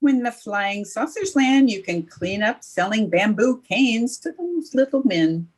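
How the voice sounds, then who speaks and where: A woman's voice: fairly high pitch, animated, a little slow, husky. A woman playing a wisecracking, sardonic schoolteacher in an old-time radio comedy. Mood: amused teasing. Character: wisecracking, dry-witted female high school teacher.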